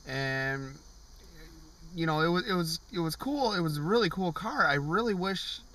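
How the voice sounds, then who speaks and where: Bright-voiced man rambling from his car. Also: on the high side, expressive, moderate pace. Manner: rambling, many fillers and restarts. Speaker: car show vlogger. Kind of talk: casual vlog review. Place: parked car, in the driver's seat. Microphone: camera on the dashboard.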